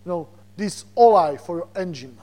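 A man from Slovakia with a Slovak accent, preaching a sermon as a guest preacher, animated and repeating words as he searches for them. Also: sits high, normal speed. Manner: animated, repeats words when searching, chuckles between points.

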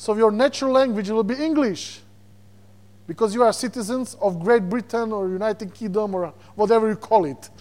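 High-voiced Slovak-accented preacher. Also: male voice, moderate pace. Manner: animated, repeats words when searching, chuckles between points. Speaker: guest preacher. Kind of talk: sermon.

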